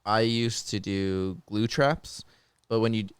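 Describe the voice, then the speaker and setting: A man's voice: on the low side, steady tone, a little slow, smooth, no room sound. A man chatting informally on a podcast as a co-host, explaining things from his own experience. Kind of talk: casual chat.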